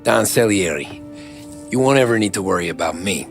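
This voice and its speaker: Low-voiced, reserved young mobster. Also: male voice, animated, brisk. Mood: respectful. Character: reserved, respectful 1930s American cabbie turned mobster. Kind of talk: cinematic video game acting. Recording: music behind the voice.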